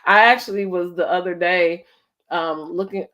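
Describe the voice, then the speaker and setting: American woman host, slightly low voice. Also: moderate pace, fairly bright tone. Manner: conversational, peppered with "like" and "you know". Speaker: host of a family storytime interview. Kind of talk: casual interview chat.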